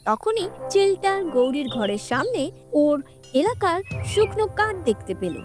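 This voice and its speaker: A woman's voice: extremely high pitch, very animated, normal speed. A woman narrating a scripted fairy tale for children. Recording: music under the voice.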